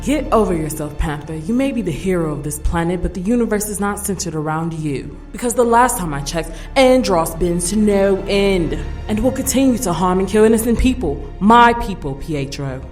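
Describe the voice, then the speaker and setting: A woman's voice: lowish voice, animated, moderate pace, darkish tone, lightly acted. A woman voicing a firm, defiant blue vixen fiancée who scolds her partner, with music behind. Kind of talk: fan audio drama acting.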